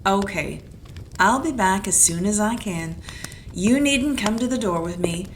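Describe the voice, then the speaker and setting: A woman's voice: medium pitch, animated, a little slow. A woman playing a tough, wisecracking female private detective, talking in a dry, sardonic, clipped way, in an amateur audio drama. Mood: cool. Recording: clear background noise.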